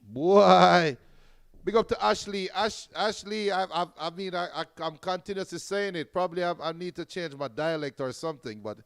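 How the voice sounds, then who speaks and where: A man's voice: sits high, normal speed, creaky, smooth, dry recording, studio quality. A man co-hosting a football watch-along, reading viewer comments aloud and stammering on his first words. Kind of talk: casual watch-along banter.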